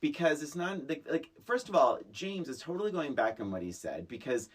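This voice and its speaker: A woman with an extremely low, raspy voice and lively intonation, co-hosting a podcast. She talks through a film casually and haltingly, acting out the lines she quotes. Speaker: podcast co-host.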